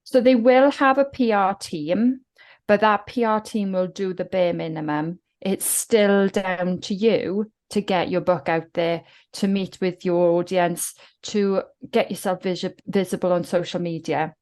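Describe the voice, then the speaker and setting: A woman's voice: fairly low pitch, steady tone, unhurried, darkish tone. A woman from South Wales with a Welsh accent, a guest talking reflectively in a podcast interview. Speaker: guest author.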